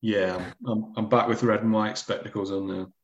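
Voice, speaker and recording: A man who is a football journalist answering questions on a podcast in a measured, friendly way. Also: lowish voice, steady tone, highs cut off. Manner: measured, friendly, a few restarts.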